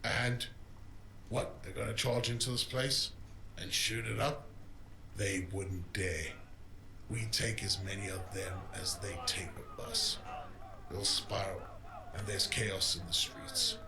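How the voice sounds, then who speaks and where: A man's voice: lowish voice, slow pace, creaky, background noise. A man playing a menacing, smug gang boss in a cyberpunk audio drama, taunting and mock-polite. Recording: roomy echo, music behind.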